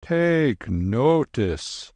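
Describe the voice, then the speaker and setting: A man voicing an old, gentle holy man who speaks in dignified, archaic phrases. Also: mid-range voice, very animated, extremely slow, no echo, pitched above the performer's own voice. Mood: solemn. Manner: solemn, archaic, dignified speech.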